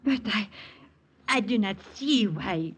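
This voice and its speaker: An actress playing a reserved young French chateau owner with a French accent in a 1940s radio drama. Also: female voice, medium pitch, lively intonation, takes it slowly, husky. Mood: doubtful, cool.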